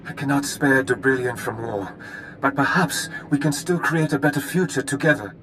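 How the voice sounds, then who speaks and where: Man playing a noble count, formal and earnest, medium pitch, courtly suitor type from a video game. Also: moderate pace, fairly bright tone, a little hiss or hum, natural voice, rough recording. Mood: earnest, hopeful. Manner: formal, solemn, gracious. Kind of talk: scripted video game dialogue.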